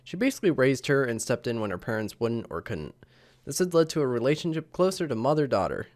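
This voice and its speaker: A man's voice: medium pitch, sing-song, fast talker, a little mellow. A young man, a little sick, casually reading online posts to the camera with lots of fillers and chuckles. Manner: rambling, chuckling, many fillers. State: slightly sick. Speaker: channel host reading posts. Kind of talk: casual vlog reading aloud. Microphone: camera mic.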